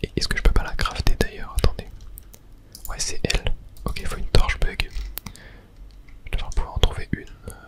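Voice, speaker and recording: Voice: normal speed, long pauses, fairly bright tone. Someone whispers casual ASMR game commentary, thinking aloud close to the microphone. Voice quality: whispering throughout. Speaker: gaming video host.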